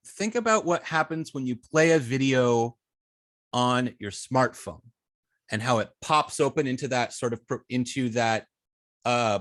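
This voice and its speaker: A man's voice: medium pitch, a bit bright, no echo. Man teaching in a dry, close recording. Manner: halting, hesitant phrasing with frequent ums and self-corrections. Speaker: web development instructor. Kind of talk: live coding tutorial, explaining step by step.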